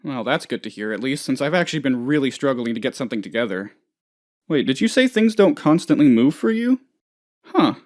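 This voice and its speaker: An actor voices a hapless office boss from a comedic video game, full of childish self-importance. The delivery rambles and hesitates, swinging widely in pitch. Worry and whining creep through the thin show of confidence. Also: very animated, fairly quick, close to an everyday voice. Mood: sheepish, deadpan. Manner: rambling, hesitant, whiny when upset. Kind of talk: comedic game dialogue, voice lines.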